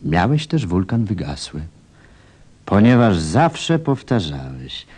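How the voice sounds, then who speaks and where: A man playing a grave, gentle narrator and confidant, telling a story in a measured, reflective way. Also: low voice, big pitch swings, breathy edge, exaggerated voice. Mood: solemn storytelling.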